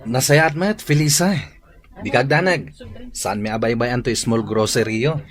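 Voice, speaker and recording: A man's voice: mid-range voice, moderate pace, faint music behind, lightly acted. An actor playing a respectful, reassuring young male shop helper in a radio drama. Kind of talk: Ilocano radio drama acting. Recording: loud noise with clicks.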